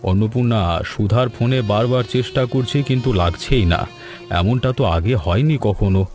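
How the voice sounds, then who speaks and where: A man voicing an anxious, earnest village family man in an animated story. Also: mid-range voice, fairly quick, music in the background, close to an everyday voice. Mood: worried. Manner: worried, earnest. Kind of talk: Bengali animated story dialogue.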